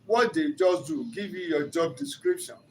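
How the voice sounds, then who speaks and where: A man's voice: a little high, normal speed, slight background noise. An older man with a Nigerian accent and Yoruba as his first language, giving an earnest, teaching-style talk as a relationship counselor that builds to emphatic rises.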